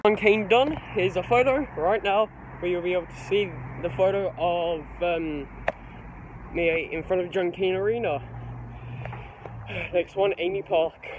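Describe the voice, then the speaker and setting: A man's voice: high voice, unhurried. A young man vlogging casually on a handheld camera out in the city. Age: teens or young adult. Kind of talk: casual vlog talk to camera. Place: busy train station and city streets outdoors. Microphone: handheld camera or phone. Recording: very noisy outdoor city ambience, handheld camera.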